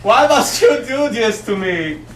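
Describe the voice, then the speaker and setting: A man voicing a pompous, cheeky German philosopher puppet in an improvised comic show, with slow theatrical delivery. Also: very high-pitched, lively intonation, fairly bright tone, pitched above the performer's own voice, exaggerated voice. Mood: pleading. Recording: echoey room from far off, noisy.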